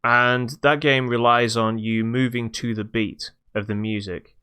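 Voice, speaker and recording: A man's voice: mid-range voice, steady tone, unhurried, bright, forceful tone, smooth. A man co-hosting a podcast, chatting casually about video games. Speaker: podcast co-host. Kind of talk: casual gaming discussion.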